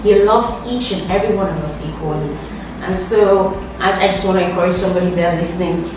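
A woman from Nigeria with a somewhat low voice talks earnestly and encouragingly. She is heard from a distance in an echoey room with background noise. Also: steady tone, brisk. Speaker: ministry team leader. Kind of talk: church encouragement.

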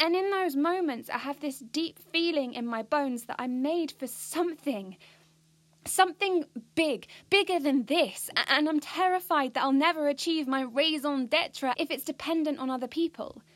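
A woman's voice: medium pitch, lightly acted. An actress playing an earnest, bookish, ambitious teenage schoolgirl with a British accent, confiding in a precise way in an audio drama monologue. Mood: anxious, intense.

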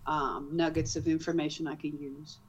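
A woman from the Netherlands with a light Dutch accent, an author chatting in an interview, animated and quick to laugh. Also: low voice. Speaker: author, guest. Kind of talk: casual interview.

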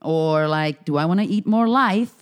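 A woman from Finland, a comedian and health coach, telling stories in casual chat, animated, full of fillers and swearing freely. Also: low voice, normal speed, clean tone.

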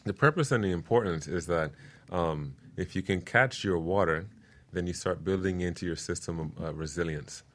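A man's voice: deep voice, normal speed, a bit bright. A man speaking as an expert guest in a casual interview, explaining practical things earnestly and step by step, often checking in with "right?". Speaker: guest permaculture designer explaining his work.

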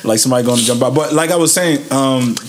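A young man interviewing a guest in a relaxed, joking way with plenty of slang. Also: mid-range voice, fast, a little hiss or hum. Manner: laid-back, joking, slangy. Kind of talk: casual interview chat.

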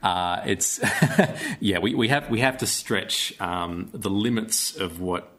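Australian man, slightly deep voice, casual interviewee who picks words carefully and haltingly. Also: expressive, fairly bright tone. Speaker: theatre-maker, interview guest.

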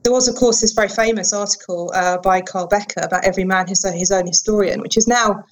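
A woman's voice: medium pitch, steady tone, fast. A woman, a guest historian giving thoughtful interview answers with many ums and restarts.

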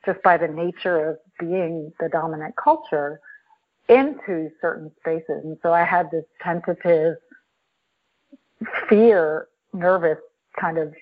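Woman telling her story over a tinny phone line. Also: on the low side. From New York. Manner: reflective, halting with restarts. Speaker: podcast guest. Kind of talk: personal storytelling.

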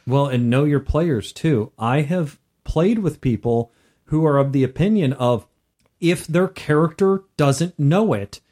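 Male gaming podcast co-host, voice a bit higher than average, conversational delivery. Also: a little slow, dark tone. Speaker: podcast co-host and tabletop gamer. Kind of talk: casual discussion of tabletop gaming.

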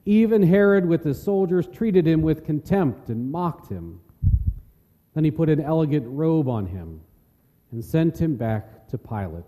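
Very mellow male scripture narrator. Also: fairly high pitch, big pitch swings. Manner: measured, solemn. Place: large reverberant church. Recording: long church hall reverb.

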